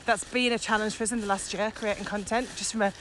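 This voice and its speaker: A woman's voice: medium pitch, steady tone, quick pace, a bit bright. A woman co-hosting a casual podcast chat, speaking with enthusiasm and emphasis. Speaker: podcast co-host, virtual trainer. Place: outdoors, walking in the countryside. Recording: outdoor walking recording with ambient noise.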